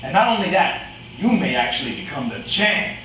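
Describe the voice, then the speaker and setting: A man's voice: a little high, sing-song, normal speed, sharp tone. A man in an amateur staged reading playing a scheming fight fixer, bossy and condescending, with a veiled menace. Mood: bossy, menacing. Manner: wheedling yet menacing, condescending. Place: echoey indoor room, staged reading. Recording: distant echoey room, faint noise.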